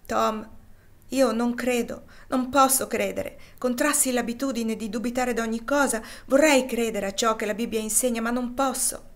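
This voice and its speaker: A woman's voice: fairly high pitch, normal speed, pitched above the performer's own voice, close to an everyday voice. A woman voicing a grieving male plantation master full of doubt, in an anguished, questioning tone, as in an audiobook. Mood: despairing. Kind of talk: audiobook character reading.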